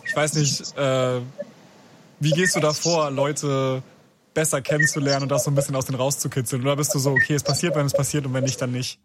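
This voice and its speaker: A man's voice: medium pitch, flat delivery, brisk. A young man co-hosting a radio show, chatting casually in colloquial, affirming youth slang, on a noisy recording. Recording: clearly noisy with clicks.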